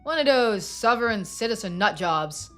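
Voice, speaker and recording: A man's voice: high-pitched, expressive, normal speed, fairly bright tone. A woman voicing an exasperated, by-the-book male police deputy in a game, clipped and sarcastic. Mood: stern, exasperated.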